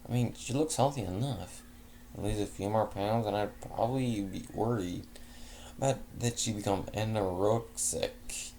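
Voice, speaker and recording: A man's voice: lowish voice, unhurried, mellow, a little hiss or hum. A man reading a first-person fanfic aloud in a casual way, often stumbling, correcting himself and making chatty asides. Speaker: fanfic reader.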